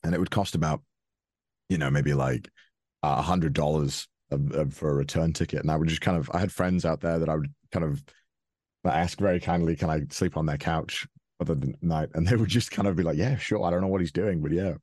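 Casting: young Welsh man, very low voice, dry close sound, loose rambling podcast guest. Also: steady tone, fairly quick, a little mellow, no room sound. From Wales. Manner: rambling, frequent restarts and fillers, chuckles often. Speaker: interview guest. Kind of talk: casual interview.

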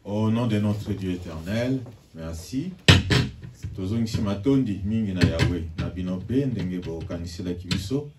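A man's voice: low-pitched, animated, normal speed. A man giving formal church announcements and leading call-and-response during a service. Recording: distant mic with faint noise.

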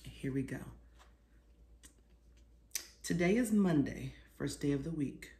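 A middle-aged woman reading a children's storybook aloud expressively, pausing to put questions to her young listeners. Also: deep voice, expressive, mellow, slight background noise. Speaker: children's author reading her own book. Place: small living room, seated on a sofa. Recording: roomy indoor echo.

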